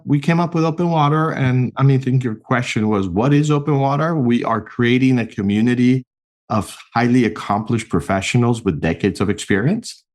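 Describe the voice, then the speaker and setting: A middle-aged man from Mexico, a podcast guest and founder, explaining his work in an animated, earnest way with a few restarts. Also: lowish voice. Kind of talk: conversational interview answers.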